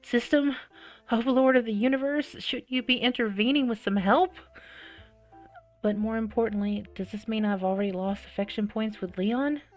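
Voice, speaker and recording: A woman plays through a visual novel and reads it aloud. Her delivery is expressive and dramatic, breaking into her own reactions, with faint game music behind her. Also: on the high side, moderate pace. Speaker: video game let's-player.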